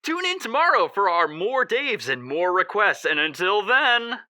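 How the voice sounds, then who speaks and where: Actor as a piercingly bright, smug radio co-host. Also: male voice, high-pitched, big pitch swings, unhurried, no echo, clean studio sound, exaggerated voice. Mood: cheery sign-off. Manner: breezy, taunting. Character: smug, cheerfully cruel radio co-host of the afterlife. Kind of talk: radio DJ acting in audio drama.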